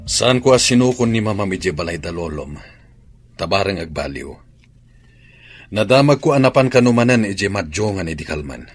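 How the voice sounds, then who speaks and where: A man's voice: low voice, expressive, moderate pace, pauses often, husky, no room sound, soft background music. A man playing a concerned, earnest father in an Ilocano radio drama. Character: concerned father and husband in a troubled family.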